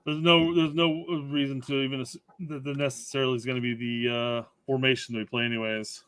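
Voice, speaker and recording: A man's voice: mid-range voice, level tone, a little fast, fairly bright tone, clean tone, dry recording. A man co-hosting a soccer podcast, careful and fact-checking, who often corrects himself. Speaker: podcast co-host. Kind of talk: casual soccer talk.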